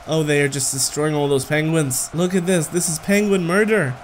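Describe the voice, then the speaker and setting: A man's voice: on the high side, fairly even intonation, moderate pace, slight background noise. A man in his thirties giving casual, playful gameplay commentary as a gaming video host, talking to the game and chuckling often.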